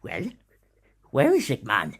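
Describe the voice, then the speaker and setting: Man playing a fussy, excitable old tycoon. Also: mid-range voice, slow pace, breathy edge, heavily acted. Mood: excited. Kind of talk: audio drama acting.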